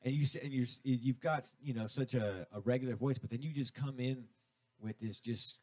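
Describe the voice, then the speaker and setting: A middle-aged man hosts a loose radio chat, tripping over his words and restarting sentences as he goes. His voice sits at an ordinary pitch and sounds dry and close to the mic, with no room echo around it. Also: steady tone, moderate pace. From Southern California. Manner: rambling, stumbling restarts, swears casually. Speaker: radio show host. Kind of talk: casual radio interview chat. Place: radio studio.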